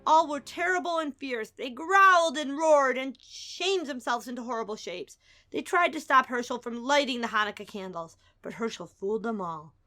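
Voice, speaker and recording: A woman's voice: high voice, expressive, moderate pace, pushed and bright, faint music behind. A woman narrating a children's story as a dramatic storybook reading.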